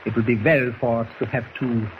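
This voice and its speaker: An actor playing a menacing foreign agent with a formal, deliberate, threatening manner in 1940s radio style. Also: male voice, medium pitch, flat delivery, lightly acted. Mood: coldly commanding. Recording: muffled, noisy 1940s radio recording.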